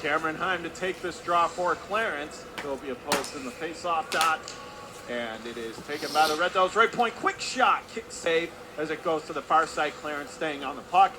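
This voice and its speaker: A male radio play-by-play announcer with a fairly high voice calls live hockey. He speaks in clipped running phrases that rise with the action. Clear arena noise sits behind him. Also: moderate pace. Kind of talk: live radio sports commentary. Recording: clear background noise of an ice rink.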